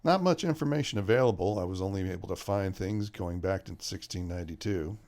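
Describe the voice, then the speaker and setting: A man's voice: low-pitched, brisk, darkish tone. A man playing an earnest brother who talks at length about family history, in a radio drama. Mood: explaining.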